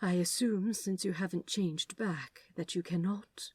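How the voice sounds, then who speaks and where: A woman's voice: lowish voice, measured pace, slightly rough, dry recording. A woman voicing a fierce but caring male angel in a storybook reading, with a snapping, emphatic, commanding manner. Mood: worried, gentle.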